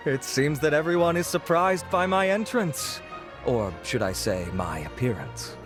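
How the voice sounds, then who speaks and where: A man's voice: on the high side, very animated, slow. A man voicing a vain, charming researcher in a video game, presenting like a self-satisfied showman. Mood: smug, charming. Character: vain, charming male researcher showing off his looks. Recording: music behind.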